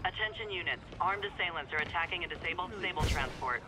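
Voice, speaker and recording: A man voicing a male police dispatcher giving brisk, official calls, over loud gunfire. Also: fairly high pitch, heavy background noise, lightly acted. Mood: urgent.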